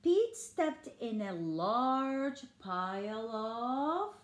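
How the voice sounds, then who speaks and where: Very slow, high-voiced older woman storyteller. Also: very animated. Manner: exaggerated, sing-song, with questions to children. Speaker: storyteller reading to young children. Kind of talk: read-aloud children's picture book. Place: indoors in a living room. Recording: roomy home recording.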